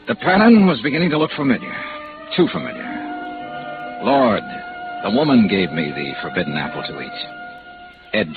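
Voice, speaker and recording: A dull vintage radio recording, music behind, carries a hardboiled insurance investigator. His voice is low and swings expressively between terse questioning and brooding noir narration. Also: full character voice.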